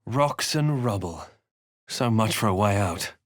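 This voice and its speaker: A male fantasy game hero with a fairly low voice. He tells of his journey with solemn, measured drama and a brooding temper, in a very dry sound. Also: animated, dry recording. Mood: wary. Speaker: player character voice actor.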